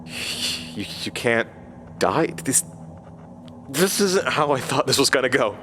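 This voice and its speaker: Man acting as bewildered seeker, slow-paced, halting, stammering, anxious, mid-range voice, audio drama. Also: mid-range voice, very animated, fairly bright tone, slight background noise. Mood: stunned. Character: bewildered, haunted man seeking answers, anxious.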